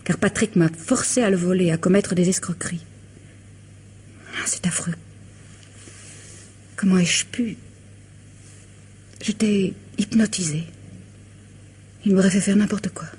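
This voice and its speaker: A woman's voice: medium pitch, steady tone, a little slow, long pauses, a little mellow, breathy edge. An actress playing a distressed, evasive married woman under questioning in a radio mystery drama, melodramatic and defensive. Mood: anguished confession.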